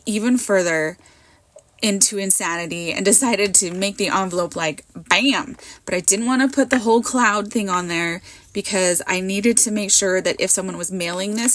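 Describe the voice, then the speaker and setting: A woman in her thirties or forties, hoarse from a cold, rambling in a chatty, self-mocking way as she narrates her card making at a craft table. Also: medium pitch, a bit bright. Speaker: card maker. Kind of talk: casual crafting voiceover.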